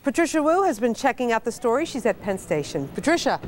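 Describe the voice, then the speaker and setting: A woman's voice: on the high side, big pitch swings, fast, a little mellow, slight background noise. A woman anchoring a television newscast, introducing a reporter's story. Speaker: TV news anchor. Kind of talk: scripted news introduction.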